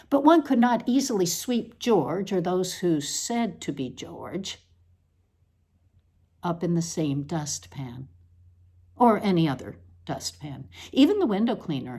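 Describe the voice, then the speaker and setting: Female literary reader, lower register, deliberate and declamatory, scripted dramatic delivery with emphatic repetition. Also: darkish tone.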